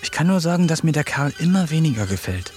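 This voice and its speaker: A man's voice: a little high, big pitch swings, quick pace, a little mellow, soft background music. An actor narrating his diary in a radio drama as its lonely protagonist, in a wry, melancholic way.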